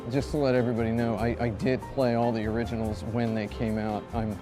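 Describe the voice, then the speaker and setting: A man in his thirties from Indiana telling a self-mocking anecdote in a rambling, animated way, as a video game reviewer. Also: mid-range voice, quick pace. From Indiana, USA. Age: 30s. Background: music.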